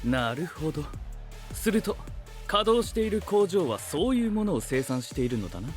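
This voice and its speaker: A man's voice: fairly high pitch, very animated, normal speed, faint music behind. A man playing a cool, studious young knight who talks in a stiff, analytical way in an anime audio drama. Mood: matter-of-fact. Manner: formal, analytical.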